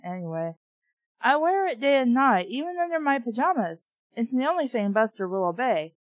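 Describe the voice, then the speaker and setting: A woman's voice: on the high side, very animated, normal speed. A woman reading a storybook aloud and breaking off into snarky, exasperated, profane commentary. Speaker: reader and book reviewer. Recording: dull, echoey room recording.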